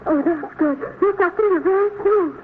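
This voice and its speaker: Female, very high voice, tender emotional mother, 1950s radio drama, tinny noisy recording. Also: moderate pace. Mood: tearful, tender.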